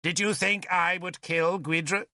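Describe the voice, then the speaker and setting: A man voicing a proud, ruthless old druid in an audiobook, deliberate and imperious. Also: sits high, fairly even intonation, creaky, pushed and bright, dry recording, clean studio sound, pitched above the performer's own voice, full character voice. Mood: patient menace. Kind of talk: dramatic audiobook character voice.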